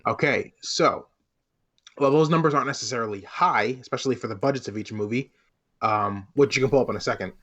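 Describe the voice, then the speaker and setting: A slightly muffled, lo-fi recording of a man hosting a podcast. He sets out his points in a measured, deliberate way, keeping the tone conversational and slangy, at an ordinary mid pitch. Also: fairly bright tone. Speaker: podcast host. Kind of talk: casual podcast discussion.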